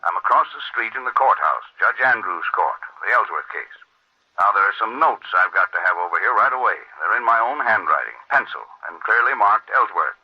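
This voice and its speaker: A man playing a brisk, commanding senior lawyer in an old radio drama, businesslike and giving instructions. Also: on the low side, lively intonation, breathy edge. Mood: brisk, urgent. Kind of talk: 1940s radio drama acting. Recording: muffled old radio recording.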